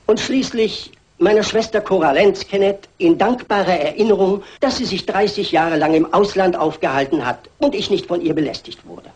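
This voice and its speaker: Performer as solemn will reader, husky, dry, measured, formal, crime radio play. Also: mid-range voice, a little mellow, lightly acted. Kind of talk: formal reading in German radio crime drama.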